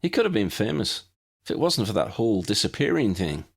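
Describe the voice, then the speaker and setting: A friendly young Irish wizard as acted out by a male narrator chats in a lilting accent for a storybook. The voice is husky and swings up and down with great animation. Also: on the low side, big pitch swings, a little fast, full character voice. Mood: friendly, candid.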